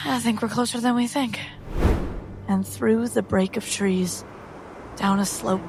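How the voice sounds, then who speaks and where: A woman's voice: mid-range voice, a little mellow. Woman player describing fantasy game action, storytelling style, light music behind. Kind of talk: narrating her character's actions in a tabletop game.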